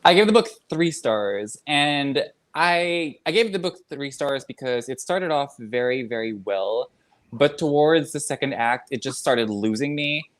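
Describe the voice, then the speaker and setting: A man co-hosting a live book chat, giving thoughtful, analytical opinions with quick laughs. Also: medium pitch, lively intonation, fairly bright tone. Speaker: book club co-host.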